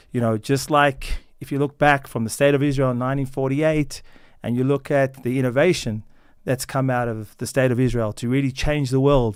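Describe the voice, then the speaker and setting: An American man speaks in an earnest, measured way, pausing often and restarting his sentences. His voice is smooth and resonant at a middle pitch. The sound is dry and close, with no room echo. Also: darkish tone. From United States. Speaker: company founder guest. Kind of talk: interview answers.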